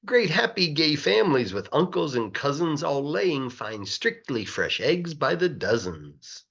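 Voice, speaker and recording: Man, children's storybook narration, very lively pitch swings, slightly husky voice, playful rhythmic verse, dull recording. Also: unhurried. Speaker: English teacher reading aloud. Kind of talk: expressive children's rhyming storybook reading.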